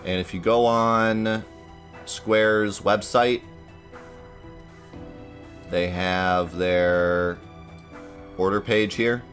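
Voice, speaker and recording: Casual gaming host, male, lowish voice, stuffy nasal from a cold, rambly and hesitant. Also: fairly even intonation, extremely slow, long pauses, a bit bright, faint music behind. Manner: hesitant, rambling, lots of fillers, gripes. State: congested, a little nasal from a cold. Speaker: let's play host. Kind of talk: casual gaming commentary to viewers.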